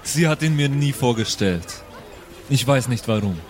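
A man's voice: medium pitch, animated, normal speed, faint music behind. A man talking casually as a player in an improvised tabletop role-playing game.

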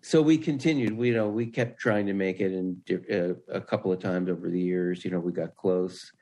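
Older man, low-pitched, telling stories casually. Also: fairly quick, dark tone. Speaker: interview guest, screenwriter. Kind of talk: casual anecdotal chat.

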